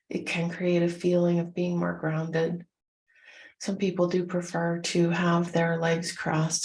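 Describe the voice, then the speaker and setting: A woman leading a guided meditation, gently instructing in short, soothing phrases with unhurried pauses. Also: lowish voice, creaky.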